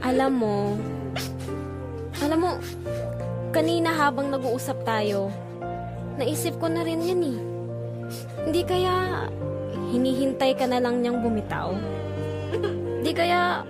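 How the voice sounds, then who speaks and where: An actress plays a sassy young woman with a very high voice. She is the blunt, caring best friend in an audio drama, chatting in slangy girl talk over loud music. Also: expressive, takes it slowly, long pauses. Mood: concerned, advising. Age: young adult. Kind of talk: casual conversational audio drama acting.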